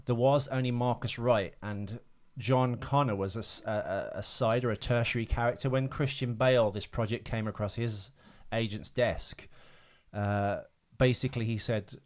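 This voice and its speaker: A man's voice: medium pitch, fairly even intonation, normal speed. Casual, joking man chatting about films. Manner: joking, sweary banter. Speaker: podcast co-host. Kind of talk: casual film chat, trivia.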